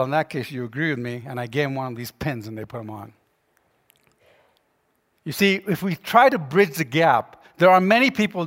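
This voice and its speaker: A man's voice: high voice, fairly bright tone. A middle-aged man giving a conversational, persuasive public talk as a preacher, using anecdotes, rhetorical questions and joking asides. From born in Ghana, grew up across Africa, Jamaica, India and Yemen. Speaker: guest speaker, preacher and author. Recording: echoey hall with long reverb.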